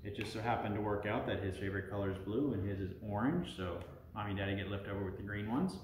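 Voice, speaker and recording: American dad in a roomy home. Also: male voice, on the low side, fast talker, a little hiss or hum. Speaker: father hosting a family product review. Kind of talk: casual family vlog talk. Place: living room at home. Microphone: camera a short distance away. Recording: roomy home sound with music behind.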